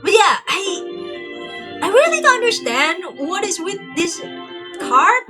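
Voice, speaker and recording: Chatty young woman streamer, lively pitch. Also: slow, music in the background. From Thailand. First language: not English. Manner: rambling, filler-heavy, frequent restarts. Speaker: game streamer. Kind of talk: casual livestream commentary.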